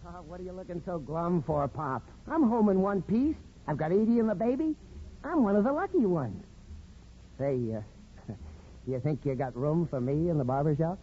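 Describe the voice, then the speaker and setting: An actor playing a breezy young war veteran and son in a 1950s radio drama. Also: male voice, on the high side, animated, normal speed, slightly rough. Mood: cheerful, breezy. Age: young adult. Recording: muffled old radio with hiss.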